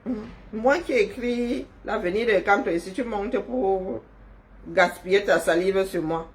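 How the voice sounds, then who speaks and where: A woman delivers teasing, scolding commentary to viewers through her phone or webcam. She talks slowly and drawls her words, mocking her critics with sarcasm. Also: mid-range voice. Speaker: online commentator. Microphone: webcam or phone on a screen.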